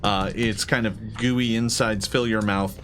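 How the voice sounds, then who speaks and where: A man working as game master, narrating a tabletop game off the cuff in a halting, deliberating way. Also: on the low side, fairly even intonation, a bit bright, a little hiss or hum.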